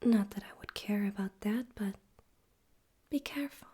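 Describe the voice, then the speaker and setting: A woman voicing a smug, theatrical male demon overlord in an ASMR roleplay, in a soft breathy whisper with a deliberate, teasing, condescending manner. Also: mid-range voice, natural voice. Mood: cool warning.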